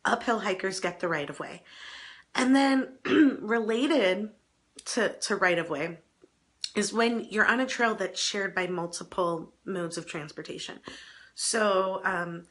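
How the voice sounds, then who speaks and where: A middle-aged woman, a bit hoarse from a cold, hosting an advice show in a chatty, self-deprecating way with small laughs and restarts. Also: mid-range voice, takes it slowly, a bit bright. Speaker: advice column host. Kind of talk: casual advice talk to camera.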